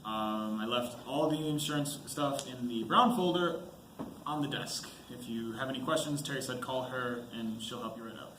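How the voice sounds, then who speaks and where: A man's voice: mid-range voice, moderate pace, sharp tone, lightly acted. A teenage boy playing a frightened, apologetic young soldier and husband in an amateur student stage play. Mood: awkward, careful. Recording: echoey school stage, clear background noise.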